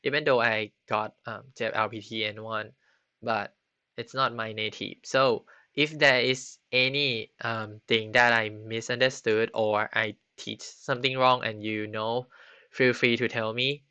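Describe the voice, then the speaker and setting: A man teaching a beginner Japanese class on a live stream, halting often with ums and restarts. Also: lowish voice, fairly even intonation, pushed and bright, clean tone, no room sound. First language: not Japanese. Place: home computer setup. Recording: slightly dull lo-fi stream audio.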